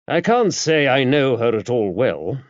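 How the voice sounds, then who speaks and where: A man's voice: medium pitch, big pitch swings, measured pace, dry recording. Man as a mild priest, muffled sound. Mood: reflective. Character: mild, courteous male priest, a former spy, wryly inquisitive. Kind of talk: audiobook character dialogue. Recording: dull, muffled lo-fi audio.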